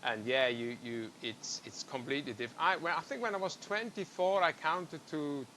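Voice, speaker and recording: A man's voice: fairly high pitch, normal speed, bright, forceful tone, slight background noise. A man who grew up in Africa and speaks, hosting a podcast in a chatty style and jumping between thoughts. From South Africa. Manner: chatty, jumps between thoughts, laughs easily. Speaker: podcast host. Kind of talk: casual podcast chat.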